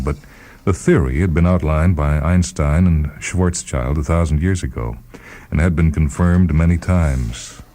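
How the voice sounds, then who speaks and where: A man reading a first-person short story as a measured, deliberate dramatic narrator. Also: a bass voice, warm tone.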